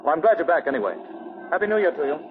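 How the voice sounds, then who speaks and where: Actor as a very fast-talking lovelorn suitor. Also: male voice, on the high side, natural voice. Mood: troubled. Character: earnest, lovelorn, rejected young suitor. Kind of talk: 1940s radio acting. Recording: noisy, dull 1940 radio recording.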